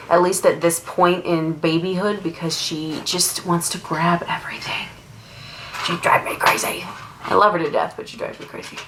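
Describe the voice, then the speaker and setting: A woman's voice: fairly low pitch, background noise. A young woman and makeup artist who sounds nasal from a cold, chatting casually at home as she does her makeup, full of "like" fillers. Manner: rambling and casual, lots of "like" fillers. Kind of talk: chatty get-ready-with-me talk while doing makeup.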